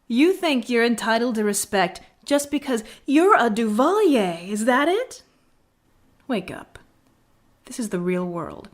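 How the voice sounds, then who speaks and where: A woman's voice: a little high, sing-song, unhurried. A woman voicing a proud, stern rebel military tactician in a video game, curt, sardonic and articulate, given to lecturing. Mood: scornful, angry. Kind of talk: scripted video game character acting.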